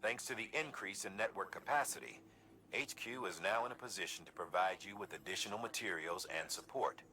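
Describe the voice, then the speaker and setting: An actor playing an encouraging headquarters officer delivering a scripted message in a video game. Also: male voice, lowish voice, fairly quick, bright, forceful tone, a little hiss or hum. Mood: approving.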